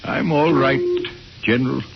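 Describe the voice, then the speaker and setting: An actor playing a stubborn, self-assured professor in an old-time radio drama. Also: male voice, medium pitch, steady tone, measured pace, vocal fry, very noisy. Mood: testy, confident. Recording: muffled vintage radio broadcast with loud music.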